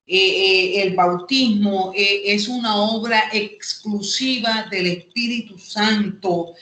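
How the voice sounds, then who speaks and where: Colombian coast lady doing an online bible class, kinda halting and repeats herself, roomy echo on the call. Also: mid-range voice, pushed and bright. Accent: Colombian Caribbean coast. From Caribbean coast of Colombia. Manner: halting, repeats phrases as she explains. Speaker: Bible seminary teacher. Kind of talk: online Bible lesson, explanatory teaching. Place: indoor room, online class. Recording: echoey room, online call audio.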